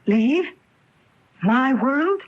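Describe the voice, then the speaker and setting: An actress playing a young stage hopeful, earnest and torn, speaking hesitantly and dramatically in a radio mystery drama. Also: female voice, sits high, big pitch swings, extremely slow, full character voice. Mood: anguished, torn. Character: young aspiring actress, torn, breathlessly devoted to ghost. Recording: muffled 1980 AM radio broadcast tape.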